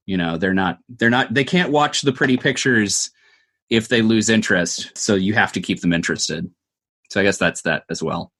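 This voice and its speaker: A man's voice: lowish voice, normal speed, a bit bright. A man giving thoughtful, conversational interview answers, explaining things step by step. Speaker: interview guest, audio drama producer.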